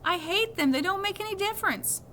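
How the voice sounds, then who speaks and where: A woman's voice: very high voice, animated, fairly quick, pushed and bright, slight background noise, voice pushed up, heavily acted. A woman voicing a self-conscious, reluctant fifth-grade boy in a storybook, whiny and emphatic. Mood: upset, pleading. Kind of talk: storybook read-aloud.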